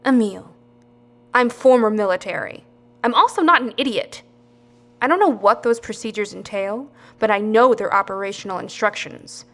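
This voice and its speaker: An actress playing a handcuffed former military woman who speaks bluntly and presses hard for answers. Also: fairly high pitch. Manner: quiet then blunt and demanding. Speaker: captive, former soldier.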